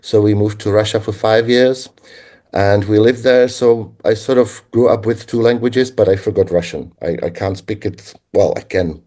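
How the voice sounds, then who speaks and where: Low, mellow Czech-accented man. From Czech Republic. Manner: reflective, halting, with frequent pauses. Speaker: guest linguist. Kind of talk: conversational interview answers.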